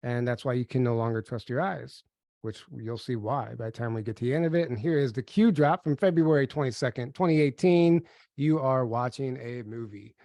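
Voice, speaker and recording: A man's voice: mid-range voice, fairly quick, dark tone, no echo, studio quality. A man hosting a podcast webinar, chatty and upbeat, a little nasal and sniffly as he gets over a cold, reading slides aloud. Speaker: podcast co-host. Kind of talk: casual webinar presentation.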